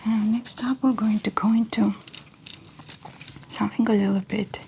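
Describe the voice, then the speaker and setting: A woman speaking in a breathy, whispery soft voice, gently and slowly giving instructions in an ASMR medical exam roleplay. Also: mid-range voice, steady tone, slight background noise. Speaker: ASMR creator playing a medical examiner.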